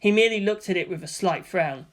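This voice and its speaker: A man's voice: high-pitched, fast talker. A man narrating fiction as an audiobook reader, in scripted storytelling style.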